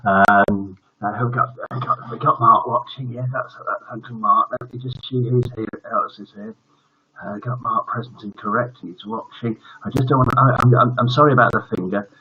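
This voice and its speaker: A man's voice: on the low side, steady tone, fairly quick, slightly rough. An older man hosts a casual livestream for children, chatting to viewers in a jokey, rambling way that often trails off. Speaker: host of a children's bedtime story livestream. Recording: dull lo-fi livestream audio.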